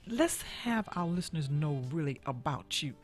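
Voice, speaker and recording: A woman's voice: low-pitched, big pitch swings, moderate pace, soft background music. A woman hosting a gospel talk show who is enthusiastic and affirming and often repeats her phrases. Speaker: host.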